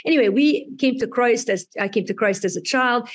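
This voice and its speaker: A woman's voice: fairly high pitch, a little fast. A woman in her forties from Lebanon, a doctor and author giving interview answers, telling her story fluently and articulately over a video call. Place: home room indoors. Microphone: laptop camera on a video call.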